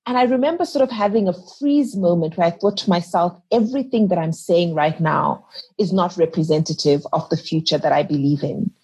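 A woman from Zimbabwe in her thirties talks as an interview guest. Her husky, low voice moves earnestly and reflectively, often restarting a thought as she works it out. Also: fairly quick, dark tone.

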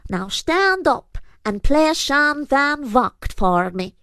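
A woman's voice: high voice, slow pace, dry recording, studio quality, voice pushed up. A woman voicing a mischievous, bossy male shapeshifting fairy creature with a snarling manner in a storybook tale. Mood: threatening. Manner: snarling, commanding.

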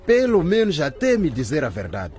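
A man's voice: a little high, huge pitch swings, normal speed, darkish tone. A man acting in a radio drama as an intimidating police interrogator with a sarcastic, probing manner. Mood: pressing, stern.

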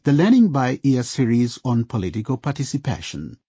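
A mellow, husky man narrates a radio drama from a script with animated intonation. The recording is dry and slightly dull. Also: medium pitch, brisk, no echo.

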